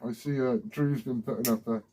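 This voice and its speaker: A British man with a husky voice rambling informally and swearing as he hosts a casual hobby vlog. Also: medium pitch, fairly even intonation, fairly quick, warm tone, a little hiss or hum. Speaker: CB radio hobbyist video host. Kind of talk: casual rambling chat to camera.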